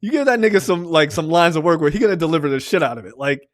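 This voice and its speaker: A man co-hosting a pop culture podcast in casual, profane chat, getting excited and laughing often. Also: fairly high pitch, very fast, dry recording, studio quality. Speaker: podcast co-host.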